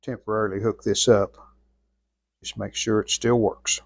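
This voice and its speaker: A man's voice: on the low side, flat delivery, normal speed, gravelly, no echo, studio quality. An American man narrating hands-on repair work in a folksy, plainspoken way, thinking aloud as he goes. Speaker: host of a radio restoration channel.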